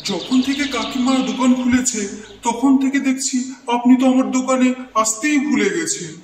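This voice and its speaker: A man's voice: very high voice, moderate pace. A man playing a jealous, vengeful village stall owner in a cartoon, speaking emphatically and insinuatingly. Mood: slyly insinuating. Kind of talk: cartoon moral-story dialogue. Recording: echoey, distant, faintly noisy hall sound.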